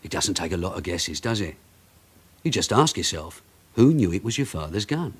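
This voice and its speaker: Shrewd cop in a radio play, low voice that moves around a lot, needles suspects. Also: male voice, sing-song, moderate pace, husky, full character voice. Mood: pressing, menacing. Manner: controlled, pressing, needling with questions. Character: shrewd, calculating male police sergeant interrogating suspects. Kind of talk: radio drama acting.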